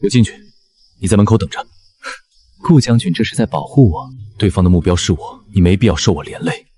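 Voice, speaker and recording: A man's voice: low voice, brisk, warm tone. A man acting a cool, teasing schemer in an ancient Chinese fantasy drama. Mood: firm, wry.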